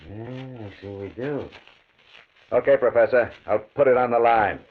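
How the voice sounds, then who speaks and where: An American actor playing a domineering, greedy blackmailer with a tough, slangy, menacing manner in an old-time radio drama. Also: male voice, medium pitch, moderate pace, close to an everyday voice. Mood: threatening. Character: domineering, money-hungry male gambler and blackmailer. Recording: dull, band-limited vintage radio recording.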